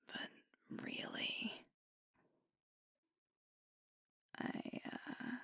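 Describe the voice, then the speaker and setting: Breathy, whispering werewolf girlfriend played by a woman. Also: low-pitched, no echo, studio quality, full character voice. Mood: tender, concerned. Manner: slow, tender, hushed delivery with pauses. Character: sweet, devoted young female alpha werewolf girlfriend. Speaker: ASMR roleplay performer. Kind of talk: intimate ASMR girlfriend roleplay. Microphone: close microphone, dry.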